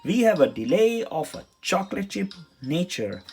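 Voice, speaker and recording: A man's voice: sits high, very animated, moderate pace, darkish tone, husky, pitched above the performer's own voice, heavily acted. A man voicing an eager, loyal young toy soldier reporting earnestly to his sergeant in a children's storybook. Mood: deadpan. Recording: music behind the voice.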